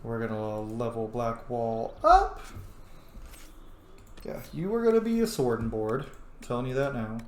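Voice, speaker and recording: Casting: male gaming host, irreverent and sweary, very lively intonation, echoey room sound. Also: mid-range voice, unhurried, pauses often, slight background noise. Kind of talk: casual roleplay let's play commentary. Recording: echoey room, faint game music behind. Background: faint game music.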